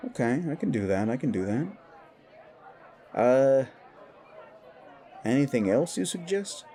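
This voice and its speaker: A big werewolf bully boss, acted by a man, with a gravelly edge and swinging, animated pitch. He is touchy and defensive, blustering and stumbling over his words in an audio drama. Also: unhurried, a faint crowd. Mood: flustered. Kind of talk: scripted audio drama dialogue.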